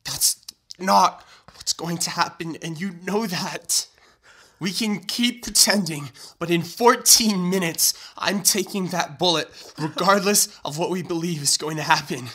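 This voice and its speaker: A man acting in an audio drama as a doomed young man, loving and resolute, speaking haltingly and earnestly in a breathy, strained voice. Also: high-pitched, pushed and bright, exaggerated voice. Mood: desperate, firm.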